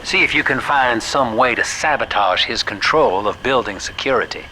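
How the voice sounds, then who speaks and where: A man's voice: mid-range voice, expressive, normal speed, noisy background, lightly acted. An actor playing a dry, grumbling male ally who gives instructions in a video game. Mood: exasperated, urgent. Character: exasperated male ally guiding the player. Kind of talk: video game character acting.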